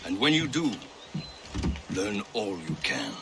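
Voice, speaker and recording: Commanding, deliberate brotherhood leader. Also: male voice, on the low side, big pitch swings, heavily acted. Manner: authoritative, deliberate. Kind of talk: dramatic video game cutscene acting.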